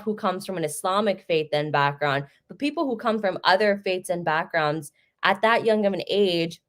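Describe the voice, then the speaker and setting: A young American woman from Chicago giving a conversational talk over a video call, telling personal stories. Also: lowish voice. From Chicago, United States. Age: young adult. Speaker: guest speaker. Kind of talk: storytelling talk.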